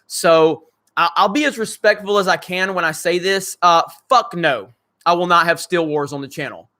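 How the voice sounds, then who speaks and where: A man's voice: sits high, moderate pace, pushed and bright. A man with a crackly voice hosting a casual livestream, reading viewer comments and answering in a blunt, joking way with some swearing. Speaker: channel host.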